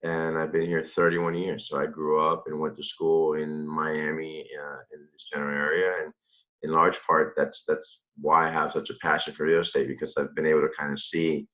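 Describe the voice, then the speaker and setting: A man in his thirties from the Dominican Republic, answering interview questions in a relaxed, rambling way with many fillers and restarts. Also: extremely low pitch, normal speed, muffled recording. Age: 30s. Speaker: interview guest, real estate developer.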